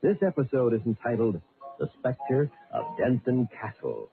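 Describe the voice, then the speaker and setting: A man's voice: mid-range voice, very animated, unhurried, vocal fry, narrow, tinny audio. A man hosting a radio show, giving a programme introduction. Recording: thin band, music behind.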